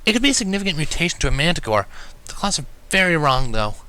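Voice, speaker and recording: A bookish young female pony from a cartoon story, acted out by a man. The pitch sits a little high and moves freely. The manner is earnest and explanatory. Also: very animated, quick pace, bright, forceful tone, smooth. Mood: conceding. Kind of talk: cartoon fan fiction character reading.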